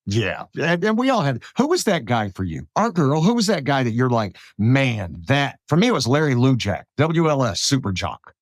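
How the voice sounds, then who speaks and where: Middle-aged male radio-style host from South Alabama, lively pitch movement, casual and anecdotal, dry recording. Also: normal speed, hardly any pauses, no room sound. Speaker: podcast host, radio broadcaster. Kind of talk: casual conversational interview.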